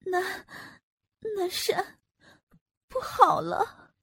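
A woman's voice: very high voice, drawn-out words, creaky, pushed and bright, dry recording, studio quality, heavily acted. A woman playing a haughty, scheming, jealous villainess in a Chinese costume audio drama, with theatrical delivery. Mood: feigned tearful weakness.

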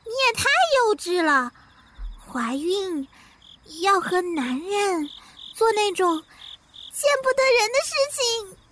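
A woman's voice: very high-pitched, expressive, takes it slowly, fairly bright tone, a little hiss or hum, full character voice. A woman playing a bossy, precocious schoolgirl of about ten in a radio drama, knowing and exclamatory. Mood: smug, teasing. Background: music.

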